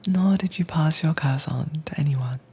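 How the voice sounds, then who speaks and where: A woman performing a whispered roleplay as a calm, commanding agent who keeps a werewolf caged, speaking in a breathy, teasing manner close to the mic. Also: low voice. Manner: cool, teasing, unhurried. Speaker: audio drama voice actress. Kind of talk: soft-spoken whispered roleplay audio.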